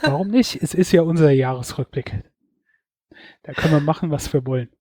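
Male podcast co-host, mellow tone, dry close sound, casual and chatty. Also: on the high side, lively intonation, a little fast, no echo. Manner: chatty, hedging with fillers. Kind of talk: casual chat, year-in-review.

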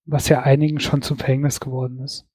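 A man's voice: mid-range voice, flat delivery, fast talker, darkish tone, dry recording. A man co-hosting a podcast, chatting casually through a year-in-review of films, hedging with fillers. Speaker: podcast co-host.

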